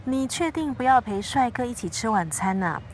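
An actress plays an easygoing young female best friend in a radio drama. Her voice is fairly high, with some vehicle noise behind it. She is playful and teasing. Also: animated, normal speed, natural voice, poor audio.

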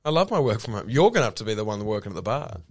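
A man's voice: big pitch swings, very fast. A man co-hosting a comedy podcast, joking through an improvised bit with swearing. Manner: playful, swearing, pitch jumps up and down. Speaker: podcast co-host.

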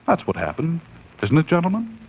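A man's voice: fairly high pitch, wildly swooping pitch, a little fast, husky, full character voice. An actor playing a haunted man unravelling into madness, melodramatic and overwrought in 1940s radio horror style. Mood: pleading. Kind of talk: 1940s radio horror acting, dramatic narration. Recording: muffled, faintly noisy 1940s radio recording.

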